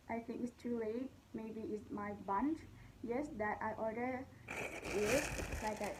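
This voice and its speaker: A woman vlogging casually about meals, talking to viewers in halting, simple non-native English. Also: a little high, a little mellow, slight background noise, low-quality recording. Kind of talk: casual food vlog to camera.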